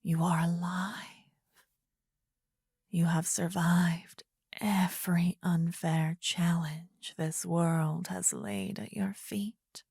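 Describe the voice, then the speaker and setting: An adoring, possessive demon queen, acted by a woman, whispers at close range in a fantasy ASMR roleplay. Her low voice is husky and breathy, with a gravelly creak. She speaks intimately and soothingly in formal phrases, tender with a menacing edge. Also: level tone, takes it slowly, darkish tone, no echo, heavily acted. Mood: soothing, devoted. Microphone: close ASMR microphone, dry.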